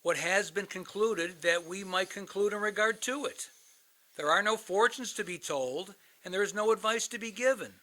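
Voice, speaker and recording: A man's voice: high voice, normal speed, sharp tone. An actor in a radio play, playing a cynical, bitter older man, a world-weary crisis hotline veteran who likes to philosophize.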